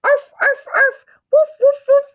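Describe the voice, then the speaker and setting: A woman's voice: very high voice, little pitch movement, slow, pitched above the performer's own voice. A woman voicing an anxious, dramatic talking female pet dog in a storybook read-aloud. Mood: barking, growling.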